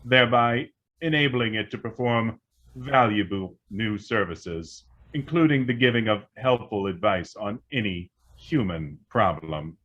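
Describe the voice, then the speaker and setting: Man acting a low-voiced, formal talking computer. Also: a little slow, fairly bright tone, highs cut off, a little hiss or hum. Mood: formal, informative. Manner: formal, stilted, machine-like recital. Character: literal, obedient male talking computer called a logic. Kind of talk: radio play acting.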